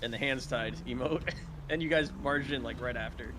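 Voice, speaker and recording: A man's voice: mid-range voice, moderate pace. A man chatting casually as a gaming guest, telling his story, with noise behind him. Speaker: guest gamer. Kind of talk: casual chat. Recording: clear background noise.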